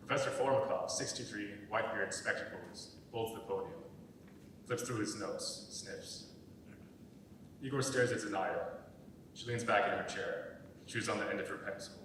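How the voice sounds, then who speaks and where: A man's voice: normal speed, pauses often, fairly bright tone. A man reading scripted narration and stage directions for a dramatic reading. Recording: echoey hall, distant mic, faint rumble.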